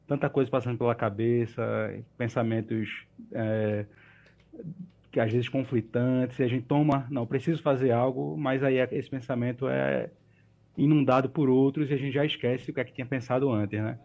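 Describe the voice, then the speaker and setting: A man, a doctor, calmly explaining health matters on a radio call-in show, often tagging his sentences with a questioning filler. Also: medium pitch, moderate pace, creaky. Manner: calm, explanatory, frequent "né". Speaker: doctor, geriatrician guest.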